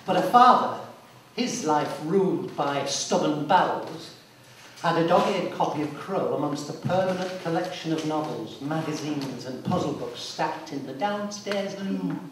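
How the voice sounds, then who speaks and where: Man, measured dramatic narration, higher-pitched, distant mic, echoey space, background noise. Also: animated. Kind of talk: scripted dramatic narration, read live.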